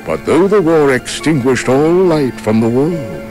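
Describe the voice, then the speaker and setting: An actor playing a stern, solemn old wizard master in a video game, speaking gravely and formally. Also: male voice, medium pitch, big pitch swings, measured pace, darkish tone, faint music behind, low-quality recording. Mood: solemn storytelling. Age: older. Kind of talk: video game cutscene acting, expository.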